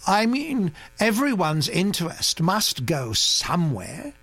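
A reader voicing a fussy, thoughtful elderly gentleman who speaks in a mild, earnest way, for an audiobook. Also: medium pitch, wildly swooping pitch, slow, gravelly, dry recording, pitched above the performer's own voice, exaggerated voice. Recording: dry recording with mains hum.

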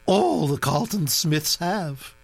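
A man's voice: a little high, expressive, creaky, husky, no room sound, voice pushed up, full character voice. A man playing a bossy old duchess. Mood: commanding. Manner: brisk, bossy and disapproving. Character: imperious elderly aristocratic woman, a duchess.

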